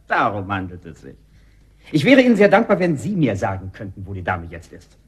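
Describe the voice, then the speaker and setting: A smug swindler posing as a respectable householder in a radio play. His husky voice swings widely in pitch. He is mock-polite and sardonic, wrapping every taunt in theatrical courtesy. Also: wildly swooping pitch, moderate pace, full character voice. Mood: indignant, mocking. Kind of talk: German radio drama acting.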